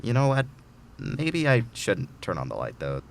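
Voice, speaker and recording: A man's voice: medium pitch, huge pitch swings, measured pace, gravelly, noisy background, heavily acted. A man playing an anxious, eager middle-aged file clerk in an audio drama, nervous and earnest. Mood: groggy, uneasy. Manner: nervous, earnest, self-coaching. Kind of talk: audio drama acting, talking to himself.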